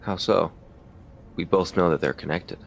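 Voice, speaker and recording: An actor playing a skeptical, weary police detective who presses quietly in an audio drama. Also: male voice, low-pitched, normal speed, gravelly, slight background noise. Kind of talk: audio drama acting, interrogation and confessional narration.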